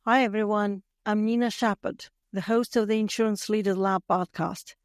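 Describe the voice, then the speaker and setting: A woman in her fifties from Latvia with a Latvian accent, hosting a podcast in a measured, carefully read monologue. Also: medium pitch, fairly even intonation, dry recording, studio quality. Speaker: podcast host. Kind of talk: scripted solo podcast monologue.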